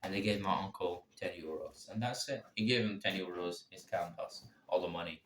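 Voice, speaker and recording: A young man with a fairly low voice tells a story in a loose, rambling way, swearing often and circling back over the same points. His voice sounds roomy and echoey, with a faint hiss of noise behind it. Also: brisk. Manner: rambling, profane, repeats himself. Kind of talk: casual spoken storytelling.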